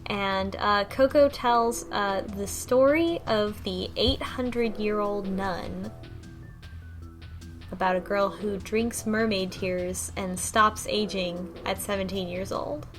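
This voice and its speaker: A woman of average pitch chats casually as a show co-host. She has a wry, sarcastic manner, with some music faintly behind her. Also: takes it slowly. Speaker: podcast co-host. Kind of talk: casual chat.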